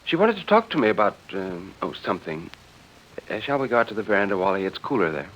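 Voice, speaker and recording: A man voicing a poised, genteel suburban wife who coaxes softly and hesitantly, in 1940s radio drama style. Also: lowish voice, very animated, fast, a little hiss or hum. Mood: light, evasive. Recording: dull vintage 1940s radio recording.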